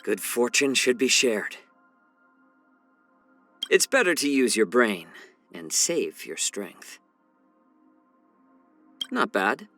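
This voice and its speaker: An actor playing a calm, wry, courteous male healer and strategist in a video game, with a measured, genteel, gently teasing delivery. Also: medium pitch, faint music behind, heavily acted. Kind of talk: scripted video game character voice lines.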